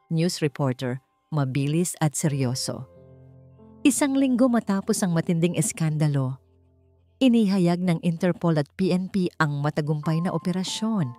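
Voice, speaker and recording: A woman narrates a romantic drama in a fairly low voice. Her delivery is measured and scripted, with soft music running behind her. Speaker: story narrator. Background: background music.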